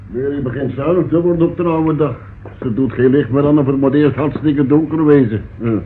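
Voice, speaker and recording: An actor playing a homely, puzzled older police sergeant in a radio play, hesitant and deferential. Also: male voice, mid-range voice, brisk, lightly acted. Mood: sheepish. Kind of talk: old radio play acting. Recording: narrow, hissy old radio recording.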